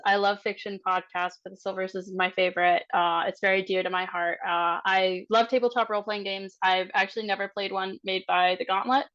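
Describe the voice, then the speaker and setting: A woman with a medium-pitched voice chats casually as a player in an online game. Her audio is slightly muffled and lo-fi, as on a video call. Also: fairly bright tone, smooth. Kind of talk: casual self-introduction.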